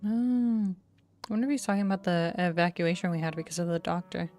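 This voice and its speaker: A woman's voice: lowish voice, fairly even intonation, fast talker, a little mellow, faint music behind. A woman streamer giving casual, chatty commentary, reacting aloud to a game's story. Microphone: close streaming microphone.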